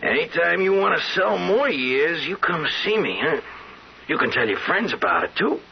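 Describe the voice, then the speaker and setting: An actor in a 1970s radio drama playing a tired, muddled, sly old man who talks haltingly and repeats himself. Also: a little high, big pitch swings, creaky. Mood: coaxing. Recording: muffled, noisy old radio recording.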